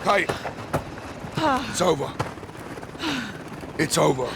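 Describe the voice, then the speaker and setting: An actor playing a gruff, weary special forces captain with a gravelly voice. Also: male voice, on the high side, takes it slowly, exaggerated voice. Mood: urgent. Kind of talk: video game cutscene acting. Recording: loud helicopter and battle noise.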